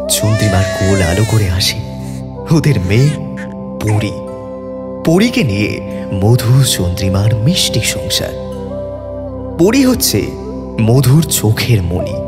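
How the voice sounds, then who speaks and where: A man narrating an audio story in a dramatic, scripted style with expressive pauses. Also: lowish voice, in a large hall. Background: clear background music.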